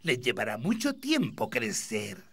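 A kindly old grandfather pig from a children's cartoon. He explains patiently and slowly, with big swings in pitch. His manner is gentle and encouraging. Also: huge pitch swings, creaky, husky, full character voice. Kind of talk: preschool cartoon dubbing.

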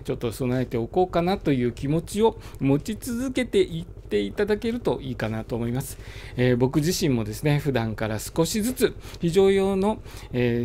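A man talking casually to the camera while riding a motorbike, explaining calmly with many fillers, through a helmet mic. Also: fairly high pitch, a little hiss or hum. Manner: relaxed, filler-heavy, explanatory. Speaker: motovlogger. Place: riding a motorbike on suburban roads.

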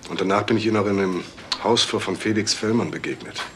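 A man playing a calm, shrewd police detective in a crime radio drama, measured, terse and commanding. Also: fairly low pitch, natural voice. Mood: brisk, commanding. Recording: clearly noisy track with clicks.

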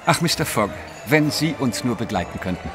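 An actor playing a confident, flamboyant French journalist with an emphatic manner, in a German audio drama. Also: male voice, mid-range voice, lively intonation, a little fast, music in the background, close to an everyday voice. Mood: spirited. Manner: emphatic, self-assured.

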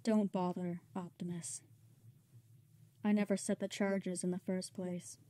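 A stern robot resistance leader, acted by a woman in a dramatic comic dub. The voice is soft-edged and dark. Each grave, imploring phrase is given slowly and deliberately. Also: on the low side, little pitch movement, lightly acted. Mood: cold, determined. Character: stern, pleading female robot resistance commander.

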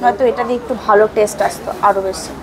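A woman's voice: medium pitch, racing. A woman food vlogger, chatting casually and enthusiastically about dishes in a restaurant, repeating her praise. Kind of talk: casual food review chat. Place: busy restaurant. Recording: crowded restaurant noise. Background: crowd chatter.

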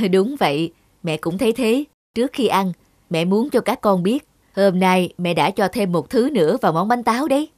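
A woman playing a firm, caring mother in an animated moral tale, speaking in a measured, explanatory, motherly way. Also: medium pitch, no room sound, studio quality, natural voice. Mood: patient, coaxing. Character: firm, caring mother of two young daughters. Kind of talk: animated cartoon dubbing, clear instructive dialogue. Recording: music behind the voice.

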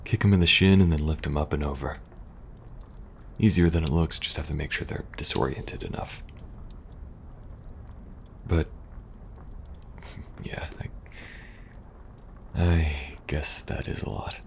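A man playing a weary, kind young government agent in a soft-spoken, halting ASMR roleplay. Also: very deep voice, fairly even intonation, gravelly, slight background noise, exaggerated voice. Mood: weary, reminiscing. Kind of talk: intimate ASMR audio roleplay.

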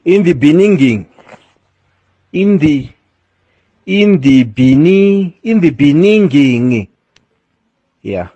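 Very slow, halting older man. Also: fairly high pitch, sing-song, warm tone, breathy edge, no room sound. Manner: halting, stumbling, repetitive. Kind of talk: halting public speech read aloud. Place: on stage at a lectern. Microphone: podium microphones. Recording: dull lo-fi clip of a speech.

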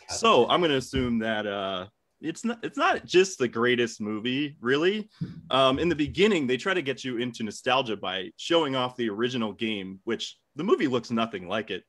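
A man's voice: mid-range voice, fairly bright tone. A man in an informal comedic debate, arguing bluntly with casual swearing. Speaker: debate contestant. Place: home room, indoors.

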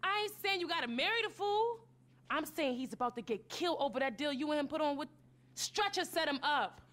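A woman's voice: sits high, expressive, a little fast, fairly bright tone. An actress playing a fed-up young American woman in a video game, blunt, firm and reproachful. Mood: scolding. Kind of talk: video game cutscene acting.

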